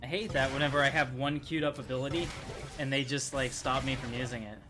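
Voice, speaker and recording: A man's voice: medium pitch, fairly even intonation, quick pace, noisy background. A man hosting a gameplay video with casual, conversational, joking commentary. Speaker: gaming video host. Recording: clear game music behind the voice. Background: video game music.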